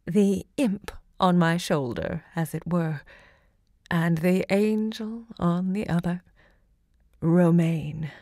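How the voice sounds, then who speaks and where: An actress playing an elderly American woman writer and literary hostess in an audio drama, speaking in a gracious, deliberate, theatrical way. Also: lowish voice, warm tone, no echo, clean studio sound. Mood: reflective, theatrical. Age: older.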